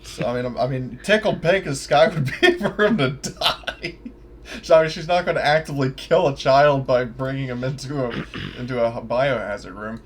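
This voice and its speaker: A man's voice: medium pitch, moderate pace, breathy edge. A man chatting casually and exclaiming with swearing as a player in a tabletop roleplaying game. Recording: faint background noise.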